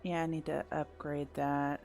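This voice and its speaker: A woman's voice: sits low, level tone, normal speed, a little mellow, faint music behind. A woman giving casual video game playthrough commentary, thinking aloud with mild exclamations.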